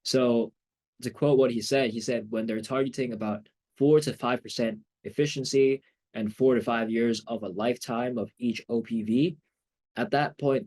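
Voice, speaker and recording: A man's voice: fairly low pitch, a little mellow. A young man hosting a student podcast in an upbeat casual style, often saying "absolutely". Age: young adult. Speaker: podcast host.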